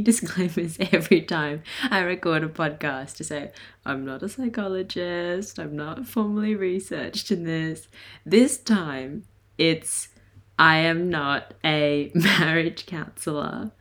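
A woman in her twenties with a hoarse, raspy voice, chatting casually and laughing often as she hosts her podcast. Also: on the low side, a little slow, fairly bright tone. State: hoarse from a night of singing. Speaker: podcast host. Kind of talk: casual solo podcast chat.

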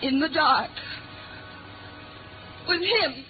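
An actress playing a scared young nightclub singer in a 1950s radio drama, halting and anxious, repeating herself, on a scratchy old recording. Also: female voice, high voice, lively intonation, phone-quality sound, heavily acted. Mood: shaken, drained. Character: frightened young female nightclub singer, panicky and pleading.

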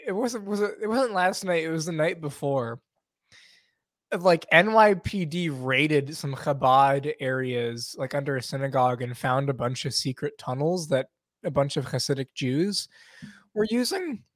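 A young man in relaxed podcast banter, casual and profane. His voice sits a little high and is nursing a cough. The sound is close and dry, with no room around it. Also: unhurried, dry recording. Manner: loose, swearing, laughing banter. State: has a cough. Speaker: podcast co-host. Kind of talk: casual chat.

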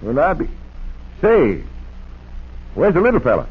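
Hearty posse lawman with a soft heart: highish voice, wildly swooping intonation, tinny old-radio sound. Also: huge pitch swings, measured pace, husky, heavily acted. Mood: hearty alert. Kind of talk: 1940s radio acting. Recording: tinny, noisy 1940s radio recording.

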